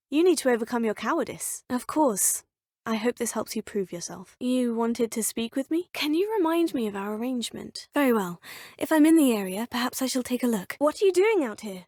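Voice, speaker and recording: A young woman voicing a polite, self-assured female witch student in a video game, with measured, proper phrasing. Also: on the high side, no echo, studio quality. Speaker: player character. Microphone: studio mic.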